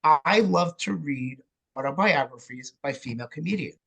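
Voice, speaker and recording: A man's voice: a little high, expressive, moderate pace, gravelly, fairly bright tone, poor audio. A man talking as a podcast guest in casual interview chat, informal and encouraging. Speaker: theatre maker and podcast guest.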